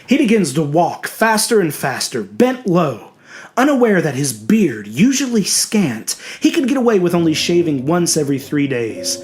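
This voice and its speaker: A man's voice: fairly high pitch, big pitch swings, moderate pace, darkish tone. A man reading a scripted horror story as a dramatic narrator. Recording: music behind the voice. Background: music.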